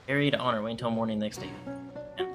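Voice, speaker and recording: A man's voice: medium pitch, steady tone, racing, over music. A man giving casual commentary as a gaming streamer, rambling and thinking aloud.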